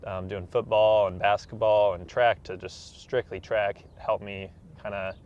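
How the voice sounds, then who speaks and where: A man's voice: on the low side, level tone, unhurried, a little mellow. A man, answering casually as an athlete being interviewed. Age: 20s. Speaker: interview guest, professional track athlete. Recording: dull, slightly noisy room recording.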